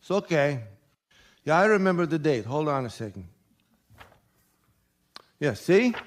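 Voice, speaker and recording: A man's voice: fairly high pitch, big pitch swings, unhurried. A man playing a shifty, grudging manager of a seedy motel in a radio mystery, evasive and sardonic.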